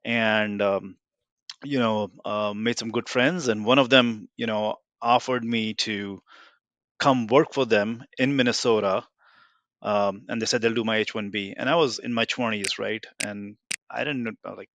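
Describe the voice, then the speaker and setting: A man's voice: fairly low pitch, steady tone, a little slow, a bit bright, no echo. A man in his forties from India with an Indian accent, a guest telling his story in a rambling, self-deprecating way with lots of fillers. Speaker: guest, tech company founder. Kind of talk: casual interview talk.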